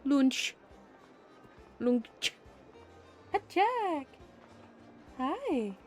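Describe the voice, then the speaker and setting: A streamer chatting casually in a rambling, giggly, expressive way. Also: high-pitched, drawn-out words, faint music behind. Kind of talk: casual livestream chat.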